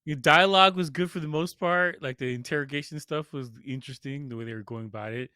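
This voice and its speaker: Male podcast co-host, bright forceful voice on the higher side, casual and bluntly opinionated. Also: fast, clean tone, no room sound, clean studio sound. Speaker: podcast co-host reviewing films. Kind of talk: casual film review chat.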